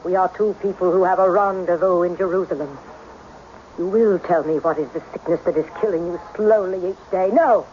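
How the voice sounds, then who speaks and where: A woman's voice: fairly low pitch, brisk, warm tone, lightly acted. An actress playing an intense, mysterious woman who probes souls in solemn, commanding phrases, in vintage radio drama style. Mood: insistent, probing. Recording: dull, faintly noisy old radio recording.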